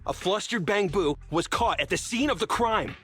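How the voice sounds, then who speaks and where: A man playing an indignant, accusing delivery truck driver in a video game, with a husky voice. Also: high-pitched, expressive, normal speed, pushed and bright, faint music behind, exaggerated voice. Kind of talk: video game character acting.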